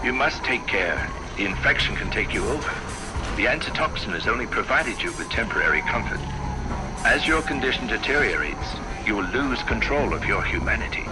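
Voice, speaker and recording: Formal, commanding sinister scientist, music behind. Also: male voice, fairly low pitch, sing-song, poor audio. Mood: grave warning. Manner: formal, deliberate, urgent. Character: sinister, commanding bioweapons doctor guiding a survivor. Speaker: scientist character. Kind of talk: video game voice acting.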